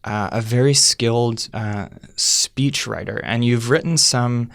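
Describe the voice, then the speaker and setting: A man's voice: mid-range voice, unhurried, gravelly, a bit bright, dry recording, studio quality. A man hosting an interview, asking questions in a hesitant way full of fillers and restarts. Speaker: interviewer, podcast host. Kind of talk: casual interview chat.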